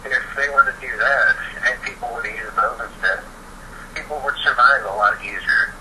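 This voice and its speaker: A man's voice: mid-range voice, moderate pace. Young guy eagerly arguing his point in a casual chat, super noisy and far from the mic. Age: teens or young adult. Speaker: participant in a phone chat. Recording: noisy, distant phone-call recording.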